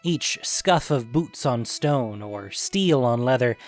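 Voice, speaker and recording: A male narrator reads a scripted fantasy story. His voice sits at an average pitch. Faint music plays behind the narration. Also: lively intonation, takes it slowly.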